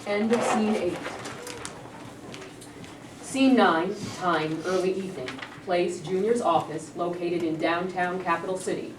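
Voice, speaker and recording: A woman's voice: on the low side, measured pace. Woman reading out play stage directions, scripted, roomy sound w noticeable noise. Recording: roomy, distant mic, clear background noise.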